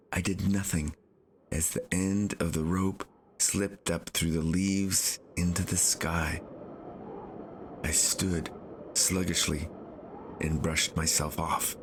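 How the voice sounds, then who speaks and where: Male audiobook narrator, deep and slow, literary scripted prose read by the author. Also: flat delivery, slight background noise. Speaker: author reading own audiobook.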